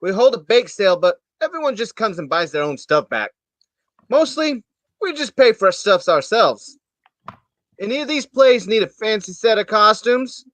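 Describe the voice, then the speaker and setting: A man's voice: high-pitched, animated, moderate pace, a bit bright, dry recording. A man playing a folksy, blunt small-town amateur thespian with juvenile humor, grumbling his way through a staged reading. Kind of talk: community theater staged reading.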